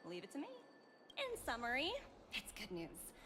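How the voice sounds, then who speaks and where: A woman's voice: fairly high pitch, huge pitch swings, normal speed, pushed and bright, in a large hall, slight background noise, heavily acted. An actress playing a poised, confident young businesswoman in a sci-fi game, with polished, official delivery. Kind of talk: game voice acting.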